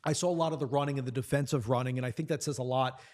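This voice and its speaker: A man's voice: medium pitch, fairly even intonation, racing, darkish tone. A man who works as a play-by-play announcer, talking earnestly and supportively about players in a sports conversation.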